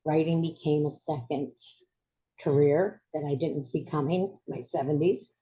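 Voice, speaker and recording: A woman in her seventies hosts a podcast interview. Her voice sits low and sounds soft and dark. She talks in a chatty way and laughs easily at herself. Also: steady tone, unhurried, poor audio. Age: 70s. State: recovering from voice loss. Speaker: podcast host.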